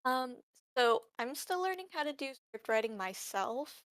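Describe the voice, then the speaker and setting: A woman's voice: high voice, big pitch swings, unhurried, fairly bright tone, dry recording, studio quality. A woman giving casual, tentative feedback in a group discussion, in an informal way. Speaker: novice screenwriter giving feedback.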